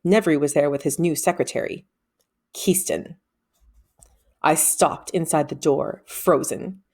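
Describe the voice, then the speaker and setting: Expressive low-voiced woman reading a story aloud. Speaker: audiobook-style reader. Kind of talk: expressive children's novel read-aloud, first-person narration.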